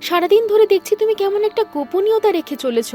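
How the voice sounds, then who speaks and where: A woman playing a curious and supportive young wife in a village cartoon. Her voice is extremely high, with music underneath. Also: expressive, fast talker, dark tone. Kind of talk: animated story dialogue.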